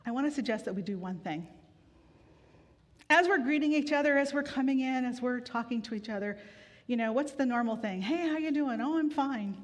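A woman pastor giving a sermon in a relaxed, conversational way with gentle humor, weary from grief. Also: sits high, a little fast. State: grieving, tired. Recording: long hall reverb.

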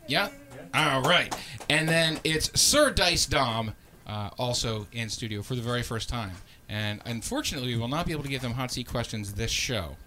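Man, podcast host, casual and laughing, rambling with restarts, bright voice, faint noise under him. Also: mid-range voice, normal speed. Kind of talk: live podcast chat.

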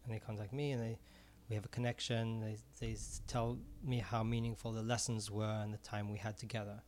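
A man's voice: lowish voice, flat delivery, brisk, darkish tone. Man, middle-aged, from Israel, earnest, repeats words, casual interview. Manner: earnest, repeats words while searching for phrasing. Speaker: ice cream vendor and former music teacher.

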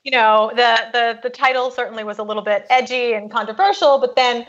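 A woman from Boston talking easygoingly as a guest author, full of "you know"s and "um"s. Her voice sits a touch high, and it comes through a dull, lo-fi remote line. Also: a little fast, fairly bright tone. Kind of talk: casual interview chat.

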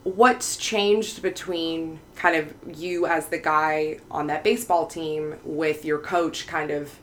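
A woman's voice: sits low, steady tone, slow pace, fairly bright tone, a little hiss or hum. A woman hosting a conversational interview, putting reflective questions in careful, tentative phrasing. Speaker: podcast host.

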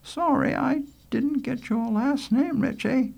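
A man voicing a soft, sympathetic lady schoolteacher who speaks properly and gently in a storybook reading. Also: very high-pitched, slow pace, creaky, voice pushed up, exaggerated voice. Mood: gentle, inquiring.

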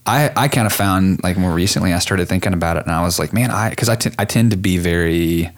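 A man's voice: low-pitched, fast talker. A man hosting a casual interview, a photographer himself, talking in a rambling way full of fillers. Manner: rambling, many fillers like "like", lively when quoting others. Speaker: podcast host, photographer.

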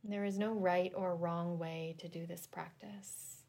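A woman leading a guided relaxation meditation in a gentle, soothing, deliberate manner with long pauses. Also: fairly low pitch, fairly even intonation, creaky. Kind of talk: guided yoga nidra meditation.

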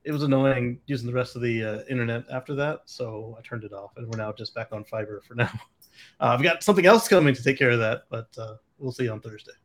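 A man co-hosting a casual podcast, relaxed and joking, laughing easily. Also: medium pitch, quick pace. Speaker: podcast co-host. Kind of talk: casual chat.

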